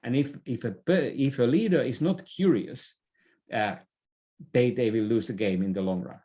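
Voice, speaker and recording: Mellow-voiced man explaining as interview guest. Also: medium pitch, moderate pace. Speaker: interview guest, leadership expert. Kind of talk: conversational explanation in a podcast interview.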